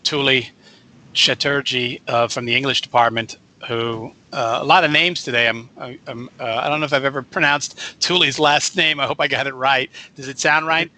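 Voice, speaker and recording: A man hosting a student radio show, talking in a loose, rambling way and correcting himself as he goes. His voice is very bright and forward. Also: medium pitch, steady tone, moderate pace. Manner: chatty, rambling, self-correcting. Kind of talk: casual radio interview.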